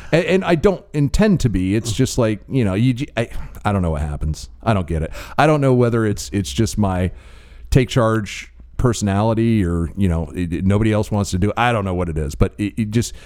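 A man with a somewhat low voice teases his co-host in a dry, joking way. His pitch still swings widely as he talks through the banter. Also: expressive, a little fast, a little mellow. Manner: dry, teasing. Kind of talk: casual banter.

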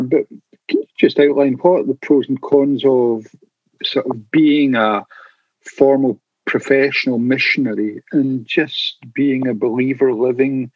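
A man's voice: mid-range voice, no echo, highs cut off. A man interviewing someone in an informal, conversational way, thinking aloud as he puts his questions.

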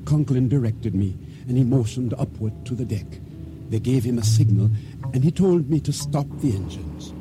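An actor playing an uneasy, trusting boatman who tells his story in measured, formal radio drama narration. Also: mid-range voice, expressive, close to an everyday voice. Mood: solemn, suspenseful. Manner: measured, formal storytelling with simple dignified phrasing. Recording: radio drama with music underneath.

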